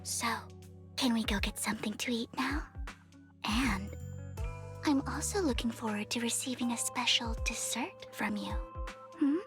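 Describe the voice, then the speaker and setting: A woman's voice: on the high side, unhurried, pauses often, natural voice. A woman voicing a poised, cunning young female thief who teases and often drops to a whisper, in game audio with music behind. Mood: teasing. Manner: coy and teasing, drops to whispers.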